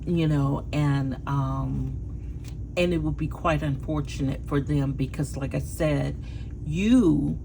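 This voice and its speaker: Hoarse low-voiced woman, slow tarot talk. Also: background noise. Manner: slow, halting, with fillers and restarts. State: hoarse, losing her voice. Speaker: tarot reader. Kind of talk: casual solo tarot reading monologue.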